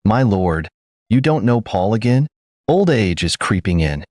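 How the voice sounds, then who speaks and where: A man's voice: fairly low pitch, sing-song, unhurried, darkish tone, no echo, clean studio sound. A man voicing a patient older queen in a read folk tale, explaining matters to her husband. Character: calm, wise older queen, gently teasing.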